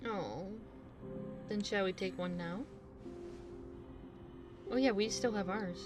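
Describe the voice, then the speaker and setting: A woman's voice: medium pitch, slow, over music. A woman streaming a game with casual commentary, giving short offhand reactions and reading choices aloud.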